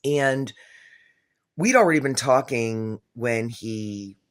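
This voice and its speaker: A middle-aged woman with a very low voice tells a personal story to camera in a casual, rambling way, full of fillers and self-corrections. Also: lively intonation, takes it slowly. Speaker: vlogger telling her own story.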